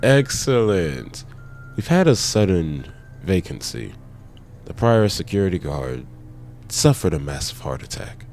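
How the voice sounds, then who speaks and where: Very deep, gravelly sinister boss. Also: male voice, sing-song, darkish tone, faint music behind, full character voice. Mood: ominous politeness. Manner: slow, ominous, formal. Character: sinister, courteous male boss of a shady employer. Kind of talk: dramatized horror comic dub.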